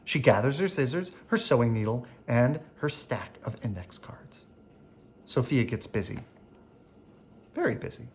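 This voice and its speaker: A storyteller reads a picture book aloud at a slow, careful pace. The pitch sits in a middle range and moves in lively swings, with animated phrasing made for young children at bedtime. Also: slight background noise.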